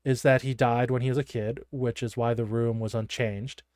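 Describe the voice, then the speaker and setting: A man's voice: medium pitch, fairly even intonation, moderate pace, darkish tone, no room sound, studio quality. A man co-hosting a casual, chatty discussion show, given to giggly laughter. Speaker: podcast co-host. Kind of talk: casual discussion of a horror anime episode.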